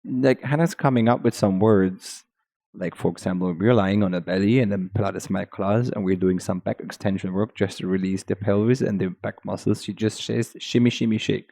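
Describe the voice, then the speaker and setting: Man, German accent, very deep, gravelly, dark tone, halting. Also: steady tone. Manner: halting, restarts while searching for words. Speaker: podcast guest, Pilates teacher. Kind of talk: casual podcast conversation.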